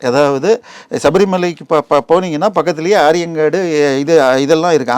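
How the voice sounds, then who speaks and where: A man's voice: high voice, darkish tone, dry recording. A man with a Tamil Nadu accent giving digressive, explanatory commentary in an interview, often adding comparisons and figures. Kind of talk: explanatory news interview talk.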